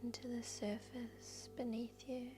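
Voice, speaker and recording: A woman guiding a meditation in a soft, breathy, whispery voice, speaking gently and soothingly with long unhurried pauses. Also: fairly high pitch, level tone, background noise. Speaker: yoga nidra guide and host. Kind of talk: guided meditation narration. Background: background music.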